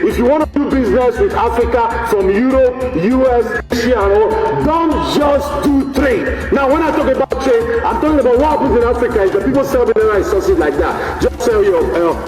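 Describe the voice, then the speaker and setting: A man with a strikingly high voice delivers a rousing, repetitive speech and keeps calling to his audience. The sound rings around a big echoey hall. Music plays clearly underneath. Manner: emphatic, repetitive, calls to the audience. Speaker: forum speaker.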